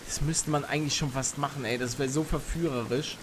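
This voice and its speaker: A young man streaming a game live, chatting with his viewers and reacting loudly, close to a studio mic at home. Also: medium pitch, a little fast, slight background noise. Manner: chatty, reads chat aloud, bursts into excited shouts. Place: home streaming room, indoors. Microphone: studio mic on arm near face.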